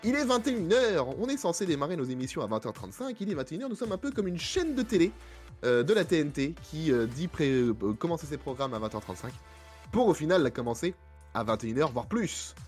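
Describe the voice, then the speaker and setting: A man with a head cold and a hoarse voice, hosting a casual podcast chat with many fillers and self-mocking asides. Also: a little high, very animated, fast talker, a little mellow, faint music behind. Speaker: podcast host.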